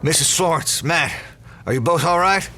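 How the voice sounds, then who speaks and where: An actor playing an anxious but commanding small-town sheriff in an audio drama, speaking urgently and emphatically. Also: male voice, a little high, animated, moderate pace, bright, forceful tone, faint music behind. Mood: grave, shaken.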